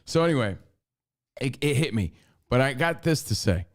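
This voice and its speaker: A man's voice: mid-range voice, animated, normal speed. A man in his forties co-hosting a podcast, talking bluntly and casually and swearing freely. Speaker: podcast co-host. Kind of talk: casual chat.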